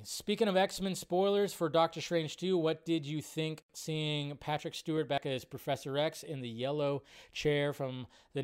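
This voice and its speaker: Man, slightly high voice, smooth and clear, informal rambling chat, live-stream host. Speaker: host of a live movie fan show. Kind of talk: casual live-stream chat, reading and answering viewer comments.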